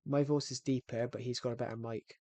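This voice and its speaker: A man's voice: mid-range voice, fairly even intonation, brisk, darkish tone, no room sound. Rambling man streaming a game, dry sound. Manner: rambling, repeats and restarts phrases. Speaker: gaming streamer. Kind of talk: casual livestream chat while playing.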